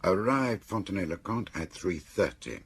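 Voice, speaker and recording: An actor playing a thoughtful male confidant of a detective in a radio drama, gravelly, measured and gently probing. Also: sits low, sing-song, full character voice. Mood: musing.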